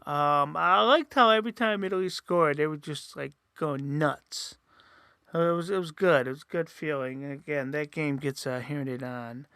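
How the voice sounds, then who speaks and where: A man talks loosely and casually, with frequent fillers and restarts. His voice is bright and gravelly, with a slightly nasal edge. It sits fairly high for a man and is recorded dry and close. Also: normal speed, no room sound. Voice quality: says he sometimes sounds nasal. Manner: rambling, many fillers and restarts. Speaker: host. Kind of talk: casual solo review podcast.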